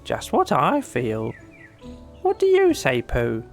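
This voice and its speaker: A woman doing a bossy, animated storybook rabbit. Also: rumbling low, slow pace, soft background music, heavily acted. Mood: earnest, bossy. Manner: earnest, emphatic, pompous. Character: bossy, self-important male rabbit, busy schemer. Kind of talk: children's audiobook character acting.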